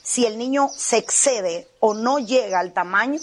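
A woman's voice: fairly high pitch, a little slow. A middle-aged woman pastor from Cuba, with a Cuban Spanish accent, telling her testimony with fervent earnestness in a TV interview.

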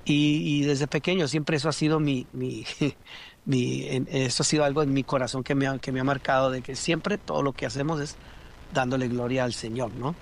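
A man's voice: mid-range voice, steady tone, moderate pace, gravelly, slight background noise. A man, telling his story as a guest in a casual interview, with many restarts and fillers. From Rio Grande Valley, Texas.

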